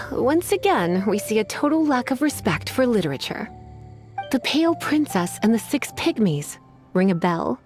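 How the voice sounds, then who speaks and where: A woman playing an elegant, flirtatious adult librarian in an anime game, languid, teasing and theatrical, with quiet menace. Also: a little high, very animated, unhurried, music in the background. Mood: stern, sweetly threatening.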